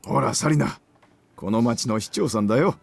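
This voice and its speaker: A man playing a courteous middle-aged gentleman in a Japanese visual novel, speaking formally and politely. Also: medium pitch, expressive, quick pace, vocal fry, faint music behind. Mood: polite, genial.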